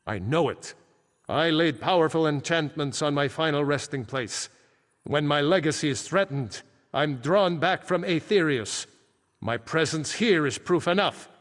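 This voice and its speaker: An actor playing a solemn ancient archmage back from death, speaking gravely and formally in fantasy video game dialogue. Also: male voice, on the high side, expressive, a little slow, a bit bright. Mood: grave, proud. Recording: echoing game hall with long reverb.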